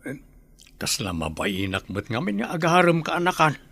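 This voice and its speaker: Radio drama uncle: middle-aged man, husky, bashful, tongue-tied, with jumpy intonation. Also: a little high, very animated, normal speed, bright, forceful tone, dry recording, heavily acted. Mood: flustered. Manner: hesitant, stammering. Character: bashful, tongue-tied older bachelor uncle, fond of drink. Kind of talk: radio drama acting in Ilocano.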